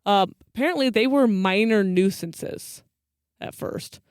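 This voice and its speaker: Clear-voiced woman chatting, dry close sound. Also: mid-range voice, measured pace, a little mellow, no room sound. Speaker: podcast co-host. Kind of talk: casual storytelling chat.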